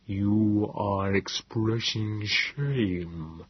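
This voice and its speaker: A man voicing a calm, clinical Morlock. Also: on the low side, breathy edge, dull audio, exaggerated voice. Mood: grave. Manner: slow, even, measured delivery.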